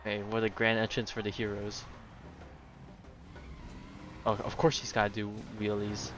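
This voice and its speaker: A man's voice: fairly low pitch, completely flat delivery, a little slow, fairly bright tone, a little hiss or hum. A man giving casual, chatty live commentary as he streams a game, musing to his viewers.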